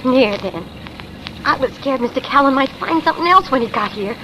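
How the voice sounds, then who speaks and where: Anxious high-voiced young American wife. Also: female voice, expressive, fairly quick. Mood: worried, meek. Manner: breathless, fretful, uneducated colloquial speech. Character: anxious, simple, devoted young wife of an ex-con. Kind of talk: 1950s radio drama acting. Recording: hissy vintage radio recording.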